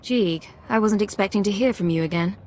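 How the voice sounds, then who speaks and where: An actress playing a cool, poised young female imperial agent in a video game, crisp and controlled. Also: medium pitch, brisk, darkish tone, a little hiss or hum, natural voice. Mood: professional.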